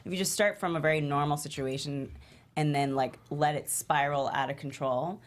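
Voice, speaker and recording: A woman's voice: low-pitched, fairly even intonation, normal speed. A woman giving feedback in a casual workshop discussion, informal and emphatic, repeating phrases to make her point. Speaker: panelist giving script notes at a table read.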